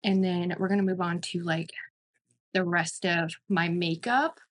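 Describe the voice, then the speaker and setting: A woman's voice: lowish voice, steady tone, a little slow. A woman in her mid-thirties giving a casual makeup review as a beauty vlogger, chatty and emphatic, often repeating words for emphasis.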